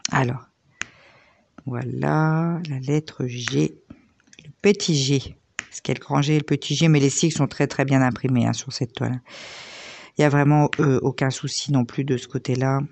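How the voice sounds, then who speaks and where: A slightly hoarse woman in her thirties, chatting casually with lots of fillers as she works on a diamond painting at home. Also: rumbling low, steady tone, a little slow, long pauses, darkish tone. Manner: chatty, rambling, many fillers. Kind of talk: casual chat while crafting.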